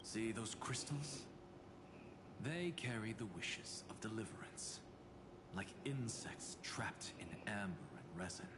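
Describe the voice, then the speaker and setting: An actor playing a grave, weary male guardian in a video game, speaking in a hushed, solemn and poetic way. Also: fairly low pitch, animated, slow pace, noisy background. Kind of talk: dramatic video game voice acting.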